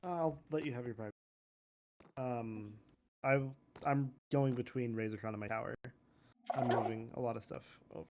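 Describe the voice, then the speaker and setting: A woman playing an agreeable, dryly literal member of a fantasy court in a Minecraft roleplay, understated and deadpan. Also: rumbling low, normal speed. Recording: very noisy mic with clicks.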